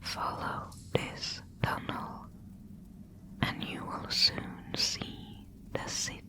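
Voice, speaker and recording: A woman voicing an ancient female spider weaver in an ASMR audio roleplay, giving slow, ominous warnings in a whisper. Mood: solemn.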